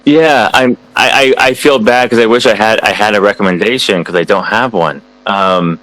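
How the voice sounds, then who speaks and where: A man's voice: lowish voice, normal speed, fairly bright tone, dry recording, poor audio. An American man answering students' questions in a casual online chat, hesitant and full of fillers and restarts. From United States. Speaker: guest speaker answering students.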